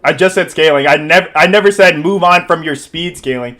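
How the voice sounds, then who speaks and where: A man in a heated online debate, loud and insistent, talking over interruptions on a voice call. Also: fairly high pitch, brisk.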